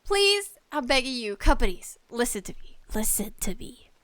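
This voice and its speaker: A woman hosting a casual solo podcast about games, talking in an animated, playfully exasperated way with emphatic delivery. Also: fairly high pitch, moderate pace. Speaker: podcast host.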